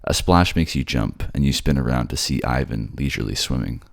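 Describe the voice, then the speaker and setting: A man's voice: rumbling low, animated, a little fast, creaky, no echo, clean studio sound. A man with a slightly sleepy voice reading scripted second-person story narration in an ASMR style. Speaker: voice actor narrating a roleplay.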